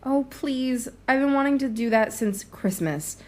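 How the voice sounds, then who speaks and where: A woman's voice: high voice, lively intonation, a little slow. Biting woman journalist played by an actress. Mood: scornful, relishing. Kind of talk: audio drama acting.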